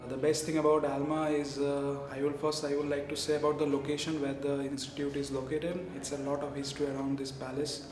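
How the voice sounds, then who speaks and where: A man's voice: on the high side, flat delivery, fairly quick, few pauses, darkish tone, slight background noise. A man from India, a culinary student speaking in formal phrasing in an interview testimonial. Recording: echoey room with background music.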